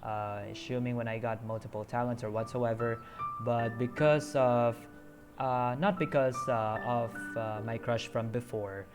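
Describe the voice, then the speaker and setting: A man's voice: medium pitch, fairly even intonation, unhurried, music in the background. A man streaming a story game, chatting casually and rambling with many hesitant fillers.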